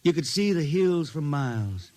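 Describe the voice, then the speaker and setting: A man's voice: on the high side, sing-song, dark tone. An actor playing a wistful, folksy spaceman poet from the hills with a Southern country accent, in a vintage radio drama. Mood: homesick. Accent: Southern US hill country. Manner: folksy, halting, dreamy. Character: wistful blind spaceman poet, Ozark country-bred drifter. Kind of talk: old-time radio drama acting.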